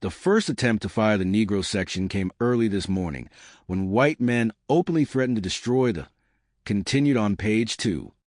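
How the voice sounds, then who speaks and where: A man's voice: on the low side, moderate pace, darkish tone, dry recording, studio quality. A man narrating scripted newspaper reports with a formal news-reading delivery.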